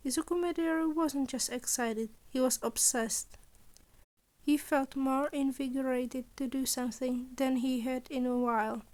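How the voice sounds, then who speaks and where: High-pitched woman narrating a story. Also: fairly even intonation, measured pace, a little mellow. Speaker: podfic narrator.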